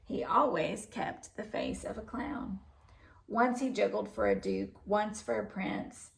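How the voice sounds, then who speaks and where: A woman reading a children's story aloud in an expressive narrating style. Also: mid-range voice, vocal fry. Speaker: storybook reader.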